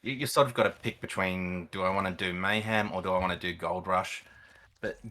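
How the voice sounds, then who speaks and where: A man's voice: sits low, a little fast, sharp tone. A man speaking as an experienced gamer and guest, explaining things in casual conversation.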